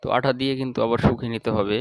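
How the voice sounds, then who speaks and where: A man's voice: medium pitch, fairly even intonation, very fast, smooth, dry recording. A man with a Bangladeshi accent narrating a step-by-step repair tutorial in a plain, instructional way. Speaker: electronics repair channel host.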